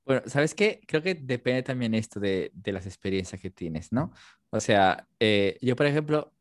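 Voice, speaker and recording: A man's voice: mid-range voice, lively intonation, moderate pace, dry recording, clean studio sound. Italian man chatting reflectively. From Italy, Naples. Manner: reflective, thinks aloud with many fillers. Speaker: language teacher and interpreter. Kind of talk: casual conversation.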